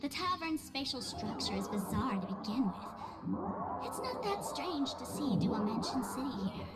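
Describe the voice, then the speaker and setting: A woman's voice: sits high, big pitch swings, moderate pace, heavy background noise. A woman voicing a haughty, mischievous young female trickster in a video game, sly, mocking and theatrical in her delivery. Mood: dismissive. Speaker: game character voice actor. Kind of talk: video game character acting. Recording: background music under the voice.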